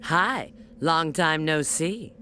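A woman voicing a confident, sultry female bat spy in a video game, coy and unhurried. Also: low-pitched, lively intonation, bright, forceful tone, a little hiss or hum, exaggerated voice. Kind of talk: video game cutscene acting. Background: background music.

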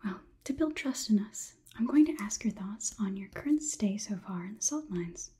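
Casting: woman playing an officious female AI overlord for ASMR roleplay; very breathy, soft whisper, formal and condescending. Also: mid-range voice, animated. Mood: politely officious. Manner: measured, formal, softly officious. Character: polite, condescending female robot overlord examining a human.

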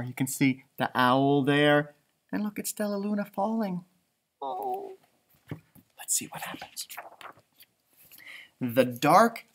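A man in his thirties doing an expressive, playful children's storybook read-aloud, asking listeners questions as he goes. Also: high voice. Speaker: elementary school storybook reader. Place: indoors, school library.

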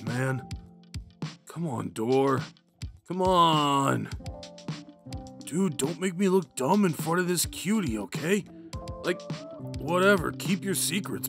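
Man acting a slow-talking drunk himbo jock. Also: fairly high pitch, vocal fry, heavily acted. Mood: woozy, grumbling. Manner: drunken, halting, goofy, rambling. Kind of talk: ASMR roleplay acting, speaking to the listener.

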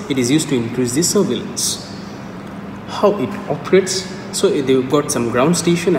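A young adult man giving an informal, step-by-step lecture in a halting, repetitive way. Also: mid-range voice. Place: indoor room, echoing. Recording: echoey room, distant mic, clearly noisy.

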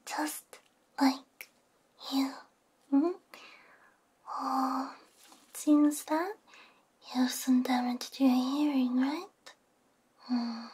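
A young woman speaks in a very slow, hushed ASMR style, mostly whispering. Her voice is high and girlish, with gentle, soothing delivery and soft hums. She is close on a binaural mic, with faint noise behind her. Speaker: ASMR roleplay performer as repair technician. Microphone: close binaural microphone.

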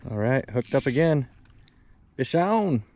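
A man in his thirties filming a casual fishing vlog outdoors by a lake, talking in a relaxed, upbeat, offhand way into an action camera. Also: mid-range voice, slow, background noise. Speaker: fishing vlogger. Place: outdoors by a lakeside dam.

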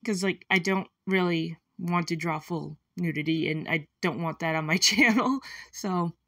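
A woman's voice: on the low side, no room sound, clean studio sound. A young woman with a congested, stuffy nose, rambling casually as she narrates her sketching for her art channel. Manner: rambling, self-deprecating, soft laughs. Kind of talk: casual voice-over while sketching.

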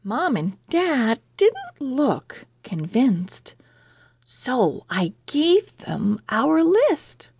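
A woman reading a children's storybook aloud in a deliberate, expressive way meant for young listeners. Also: sits high, gravelly, slightly rough, no echo. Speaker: children's book reader. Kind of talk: read-aloud storybook narration.

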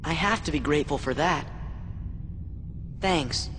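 A man's voice: on the high side, measured pace, background noise, natural voice. An actor playing an earnest, emotional teenage hero boy in a video game, speaking with heartfelt sincerity. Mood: grudging gratitude. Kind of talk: video game cutscene voice acting. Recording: game audio with long reverb, music.

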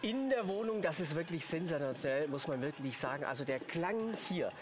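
A man with a slightly husky voice, presenting a live shopping pitch effusively and emphatically, with the odd stumble. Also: expressive, normal speed. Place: TV studio.

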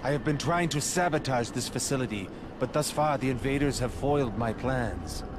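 A man's voice: mid-range voice, normal speed, natural voice. Game saboteur male actor: mid-range voice, formal wording, loyal chaos follower, noisy ambience around him. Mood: guarded. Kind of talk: scripted video game dialogue.

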